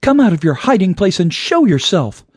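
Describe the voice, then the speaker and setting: A man's voice: high-pitched, big pitch swings, normal speed, dark tone, slightly rough, dry recording, studio quality, voice pushed up, full character voice. A man voicing a brave, hot-tempered young female adventurer in a storybook, defiant and exclaiming. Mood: defiant, angry. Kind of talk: audiobook character voice.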